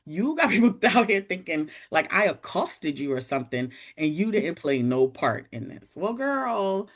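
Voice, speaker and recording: Woman in her forties, lowish voice, lively swooping pitch, casual storytelling, acts out quoted voices. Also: moderate pace. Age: 40s. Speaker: podcast guest.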